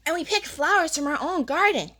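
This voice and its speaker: A woman's voice: high-pitched, lively intonation, brisk, bright, forceful tone. A woman playing a sweet, eager little twin girl in a children's audio drama.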